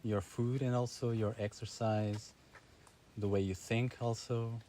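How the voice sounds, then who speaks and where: A male interviewer with a fairly low, mellow voice keeps the talk going with casual questions. He often backs up his guest with a quick "mm-hmm" or "yeah" and leans on "you know". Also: little pitch movement. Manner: encouraging, short backchannels.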